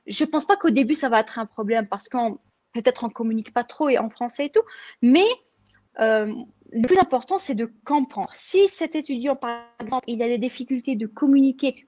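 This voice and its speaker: A young woman from Algeria answers interview questions in a slightly high voice. She hesitates often, restarting phrases and filling pauses, and the recording is close and dry with no room sound. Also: a little mellow, no echo. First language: French. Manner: hesitant, many fillers and restarts. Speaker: guest pharmacist.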